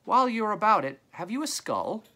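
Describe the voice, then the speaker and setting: A man's voice: sits high, big pitch swings, brisk, pushed and bright, pitched above the performer's own voice, full character voice. A man voicing a businesslike lady who haggles in a graveyard at night, in a storybook. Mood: brisk bargaining.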